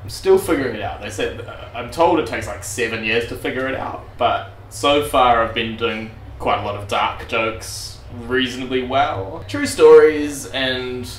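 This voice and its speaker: A young man with a New Zealand accent, a stand-up comedian with a dry, deadpan, self-deprecating delivery, speaking into a handheld mic in a brick comedy club. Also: mid-range voice, normal speed, hardly any pauses, reverberant, far from the mic, a little hiss or hum. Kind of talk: stand-up set and casual interview. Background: crowd laughter.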